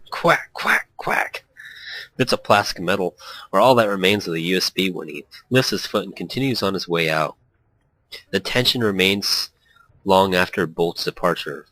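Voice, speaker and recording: A man's voice: low-pitched, bright, forceful tone, dry recording, poor audio. A man casually reading a visual novel aloud and joking about it, stumbling and correcting himself as he goes. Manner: jokey, laughs often, reads with stumbles and self-corrections. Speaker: let's play host reading a visual novel. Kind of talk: casual commentary and reading aloud with quoted character lines.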